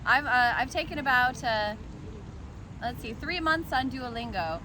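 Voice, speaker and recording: A woman street harpist chatting with listeners in an outdoor city park, friendly and halting, with fillers and small laughs. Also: on the high side, expressive, noisy background. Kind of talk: chatting with listeners between songs. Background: harp music.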